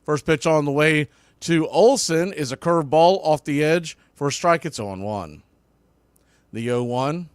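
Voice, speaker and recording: A male baseball announcer with a somewhat high voice calls the game live in short, clipped phrases. He is openly partisan and folksy, leaning on catchphrases. The sound is dry and close. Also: expressive, a little slow, smooth, dry recording. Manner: folksy, partisan home-team enthusiasm. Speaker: baseball play-by-play announcer for a fan stream. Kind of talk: live sports play-by-play commentary.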